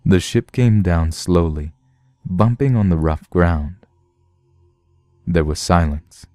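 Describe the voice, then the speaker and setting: A man's voice: deep voice, slow, dark tone, dry recording. A man narrating a science fiction story from a script. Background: music.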